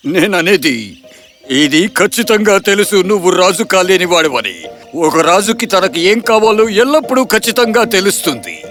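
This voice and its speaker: A man as high-pitched, exasperated aging cartoon king. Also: very animated, moderate pace, fairly bright tone, faint music behind. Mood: patronizing. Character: exasperated aging king and father of three princes. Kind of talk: animated fairy tale dub.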